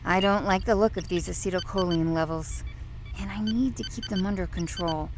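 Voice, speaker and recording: A woman acting in an audio drama as a considerate starship doctor, calm, clinical and gentle. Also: mid-range voice, normal speed, background noise, natural voice.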